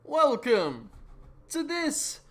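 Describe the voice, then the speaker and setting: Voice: sits high, wildly swooping pitch, very slow. A performer giving a mock-dramatic, theatrical comedic reading of a story, sometimes tripping over words and repeating them. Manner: theatrical, stumbles and rereads phrases. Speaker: reader of a fan fiction.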